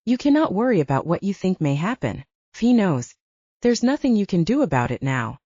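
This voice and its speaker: A woman narrator plays a seductive, self-assured male wolf shifter from a paranormal audiobook. He speaks formally and smoothly in a mellow tone, on a slightly dull, lo-fi recording. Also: mid-range voice, big pitch swings, a little fast. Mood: earnest, protective. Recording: dull lo-fi, roomy sound.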